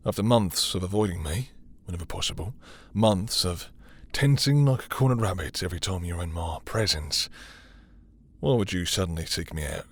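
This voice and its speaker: A man playing a possessive, dominant vampire lord in intimate roleplay audio, with a husky, breathy voice and a teasing, seductive, condescending manner. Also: low-pitched, moderate pace. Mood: coaxing, pressing. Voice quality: husky, breathy, dropping into whispers.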